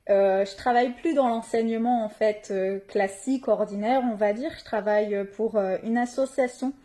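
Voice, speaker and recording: A young woman from northern France introducing herself in a gentle, hesitant way, with many filler pauses. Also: mid-range voice. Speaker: spiritual page creator. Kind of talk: slow, hesitant personal introduction.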